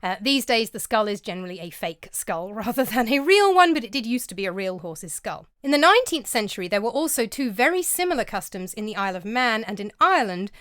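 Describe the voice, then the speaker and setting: Female vlog narrator, scripted and scholarly, bright lilting delivery, bone-dry studio read. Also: on the high side, very animated, fairly quick, hardly any pauses, no echo. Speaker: vlogger and narrator. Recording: dry close-mic voice-over.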